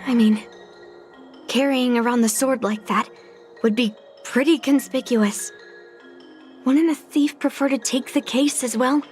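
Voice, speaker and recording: A woman's voice: high voice, steady tone, unhurried, pauses often, a little mellow. A woman voicing a determined, earnest young woman in a video game, thinking through her situation aloud. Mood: pondering. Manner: earnest, pondering. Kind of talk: scripted video game dialogue acting. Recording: music behind the voice.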